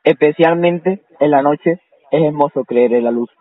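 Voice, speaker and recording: Un hombre que interpreta a un hijo adulto obtuso, evasivo e impasible en una broma telefónica. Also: male voice, a little high, measured pace. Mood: deadpan. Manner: deadpan, evasive. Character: unhurried, obtuse grown son handling household bills. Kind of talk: prank phone call. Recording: muffled, tinny phone line.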